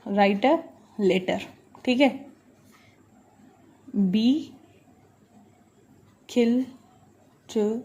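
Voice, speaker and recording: A woman lecturing on legal text, reading out each clause and then explaining it in a didactic way, often checking in. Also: on the high side. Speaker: law lecturer.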